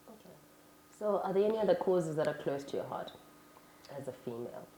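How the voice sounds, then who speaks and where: A woman's voice: fairly low pitch, animated, brisk, warm tone. A woman hosting a talk show, asking questions in a conversational style, recorded with roomy echo and some noise. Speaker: talk show host, interviewer. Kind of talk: conversational interviewing.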